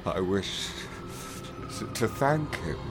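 A frightened young acolyte stammers his pleas. He sounds set back and distant. Loud music fills the space around him. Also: lowish voice, big pitch swings, slow. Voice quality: faltering, stammering.

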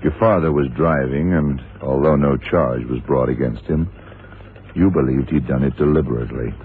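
A man acting a calm, shrewd FBI agent in a radio drama, speaking in a measured, probing, matter-of-fact way. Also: very deep voice. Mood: coolly probing. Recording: tinny, narrow-band, noisy old radio recording.

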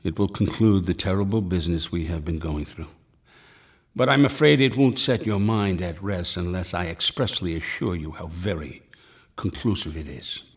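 A man acting in a radio drama as a grave, resolute older doctor and family friend, with a formal, deliberate delivery. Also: low voice, slightly rough. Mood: solemn, measured. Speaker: actor, also narrating.

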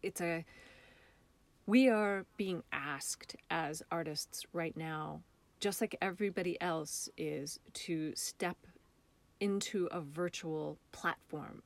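An American woman speaking as a guest artist in a reflective interview, thoughtful and halting as she searches for words. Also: lowish voice, no echo. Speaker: guest, local artist and theatre maker.

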